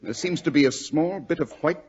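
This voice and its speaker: An actor playing a brilliant, sardonic gentleman detective with a British accent, pondering and self-assured, in an old radio drama. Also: fairly high pitch, fairly quick, husky. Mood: wry, pondering. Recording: dull lo-fi old radio recording.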